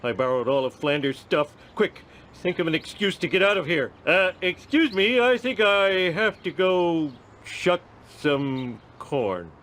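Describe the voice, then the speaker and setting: An actor voicing a dim, lazy, gluttonous cartoon suburban dad in a comedy game, American accent, whiny and blustering. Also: male voice, sits high, sing-song, measured pace, fairly bright tone, heavily acted. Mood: sheepish, grumbling. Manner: blustering, childishly grumbling. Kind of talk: animated comedy game voice acting.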